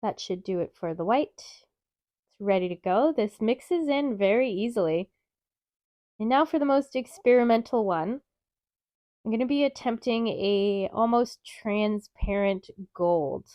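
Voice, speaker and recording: A woman with a stuffy, nasal voice from a cold, calmly explaining steps in a craft tutorial. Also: medium pitch, a little slow, dry recording. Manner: calm, methodical, chatty explaining. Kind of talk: step-by-step craft tutorial narration.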